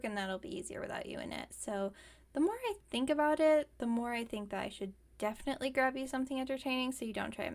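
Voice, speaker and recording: Woman as a high-voiced, wry, caring werewolf roommate. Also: creaky. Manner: casual, teasing, rambling jokes, gently bossy. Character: caring, wry young female werewolf roommate, pack beta. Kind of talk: ASMR roleplay, intimate comforting monologue.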